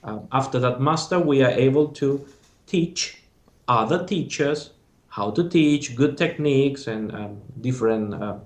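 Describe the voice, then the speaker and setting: Spanish-accented man in an echoey room. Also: medium pitch. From Spain. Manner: halting, searching for words. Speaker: master's graduate. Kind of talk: casual interview.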